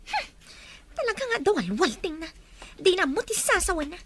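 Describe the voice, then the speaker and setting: A woman acts out a scheming, sharp-tongued melodrama schemer. Her voice sits extremely high and swings dramatically up and down, in the manner of radio drama. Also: big pitch swings, moderate pace, noisy background. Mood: scornful. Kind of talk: radio-style melodrama acting.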